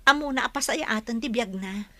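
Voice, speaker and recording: A woman's voice: on the high side, normal speed, pushed and bright, no echo. A woman acting a sincere, devoted young woman in love in a serial audio drama. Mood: happy, patient. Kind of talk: Filipino radio-style drama dialogue.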